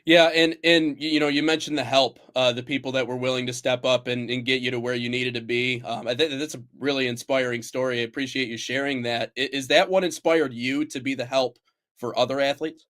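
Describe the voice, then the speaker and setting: A male host of a soccer talk show, with a voice of middling pitch. He frames his questions warmly and keeps nudging his guest along with short affirmations. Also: fairly even intonation, brisk. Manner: encouraging, with frequent short affirmations. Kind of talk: interview questions.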